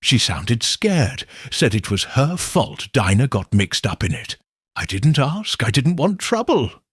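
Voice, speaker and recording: Man as timid, hushed young woman witness. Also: mid-range voice, sing-song, unhurried, breathy edge, no room sound, studio quality. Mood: nervous.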